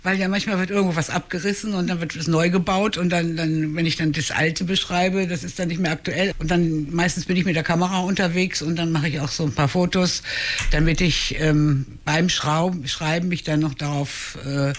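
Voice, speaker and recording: A woman in her sixties from Hannover, speaking in a casual radio interview and pausing often with fillers and restarts. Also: on the low side, fairly even intonation. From Hannover, Germany. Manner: hesitant, many fillers and restarts. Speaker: crime novelist guest.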